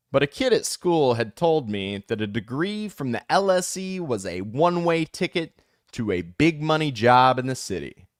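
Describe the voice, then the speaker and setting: A man reading a first-person memoir aloud as an audiobook, in a measured, steady way with lifts for emphasis. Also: medium pitch, dry recording, studio quality. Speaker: audiobook reader.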